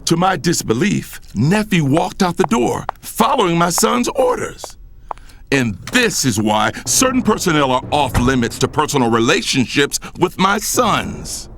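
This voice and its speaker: A man's voice: a little high, huge pitch swings, unhurried, creaky, fairly bright tone, slight background noise, heavily acted. A man acting as a hot-tempered, domineering middle-aged father and company boss in an audio drama. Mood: indignant, stunned. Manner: commanding, indignant. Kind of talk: dramatized first-person audio drama narration and dialogue. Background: music.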